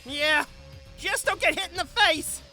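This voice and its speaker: A woman's voice: very high-pitched, unhurried, pushed and bright, soft background music, full character voice. A woman playing a pushy, exasperated Hollywood agent pleading in a comic dub.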